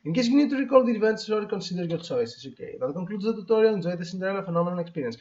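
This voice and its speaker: High-pitched man hosting a casual let's play. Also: animated, rapid-fire, darkish tone. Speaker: host of a gaming playthrough. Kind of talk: casual let's play, reading game text aloud with comments. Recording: dull lo-fi mic, music behind. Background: game background music.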